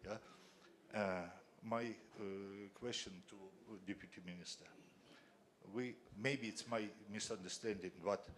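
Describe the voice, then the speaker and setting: Man asking haltingly, low voice. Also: a bit bright, slight background noise. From Ukraine. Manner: halting, searching for English words. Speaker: audience questioner, private investor. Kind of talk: question from the floor at a panel.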